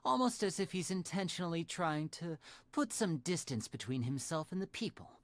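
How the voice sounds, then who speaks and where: Lively, charming young male magician. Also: fairly low pitch, moderate pace, no echo. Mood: conversational, measured. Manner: smooth, teasing, theatrical politeness. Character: charming young male stage magician, polite, playful, secretive. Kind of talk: scripted video game character dialogue.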